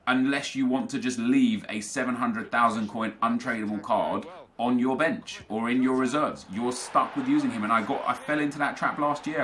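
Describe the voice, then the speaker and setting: A man's voice: mid-range voice, fairly bright tone. A young man with a British accent, talking casually and argumentatively as a gaming video host, answering viewer comments and correcting himself mid-sentence. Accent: British English. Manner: rambling, argumentative, self-correcting.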